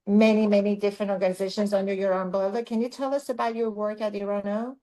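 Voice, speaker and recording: Female webinar moderator, mid pitch, deliberate pacing, video-call audio. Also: fairly even intonation, warm tone, low-quality recording. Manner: measured, deliberate, framing questions. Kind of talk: moderating a panel discussion.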